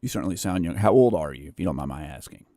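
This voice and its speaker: A man with a naturally bassy voice chatting casually and in a rambling way as a livestream host, close on a studio condenser microphone. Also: lively intonation, racing, dark tone, no echo. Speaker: livestream host editing a video. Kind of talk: casual livestream chat while editing.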